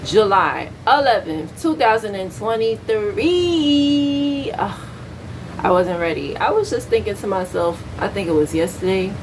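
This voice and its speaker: A woman's voice: mid-range voice, expressive, measured pace, noisy background. An American woman in her thirties chatting casually as a vlogger, candid, slangy and opinionated. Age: 30s. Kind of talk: casual chat to camera.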